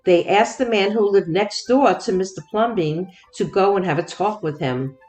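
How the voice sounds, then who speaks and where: A woman narrating a children's storybook expressively, with deliberate, dramatic phrasing. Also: medium pitch, a little mellow, faint music behind, rough recording. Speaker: children's book read-aloud host.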